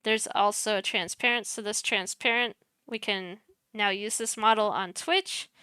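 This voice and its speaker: Soft-spoken young woman on a headset. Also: mid-range voice, fairly even intonation, measured pace, clean tone, dry recording. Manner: chatty, self-deprecating, mutters while clicking. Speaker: streamer teaching streaming setup. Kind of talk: casual screen-share tutorial.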